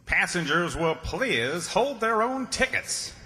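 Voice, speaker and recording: A man acting a loud bus depot announcer calling out in a drawn-out way in an old-time radio comedy. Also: high-pitched, big pitch swings, full character voice. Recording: echoey hall with long reverb.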